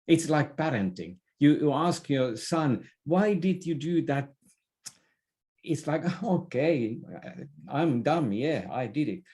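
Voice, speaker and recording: A man giving a conversational explanation as an interview guest, halting as he goes and often repeating or correcting his words. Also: fairly high pitch, animated, darkish tone. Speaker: interview guest, leadership expert.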